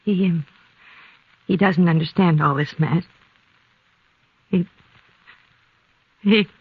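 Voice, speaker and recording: An actress playing a stoic, gentle, weary frontier mother with earnest, halting speech in a western radio drama. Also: fairly low pitch, a little hiss or hum. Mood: anxious, sad. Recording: dull old radio recording.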